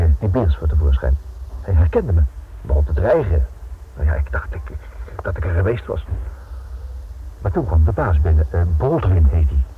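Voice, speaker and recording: A fairly low, animated male voice plays a novelist dabbling as an amateur detective in a radio drama. He stammers and hesitates, defensive yet stubborn, with big swings of pitch. The sound is narrow and tinny, like an old broadcast. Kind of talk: radio play acting. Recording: tinny, band-limited old radio recording with noise.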